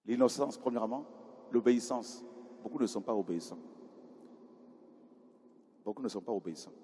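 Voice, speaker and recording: A man preaching a sermon in a conversational way, often questioning the congregation and moving from quiet asides to loud cries. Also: mid-range voice, normal speed, breathy edge. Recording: hall reverb with faint ambience.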